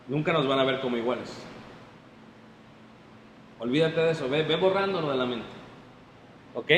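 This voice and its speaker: A man preaching a lively sermon to his congregation, often stopping to check they follow. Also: mid-range voice. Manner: animated, addresses the congregation directly with frequent checks. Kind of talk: sermon, Bible class. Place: church hall. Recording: echoey hall, faint room noise.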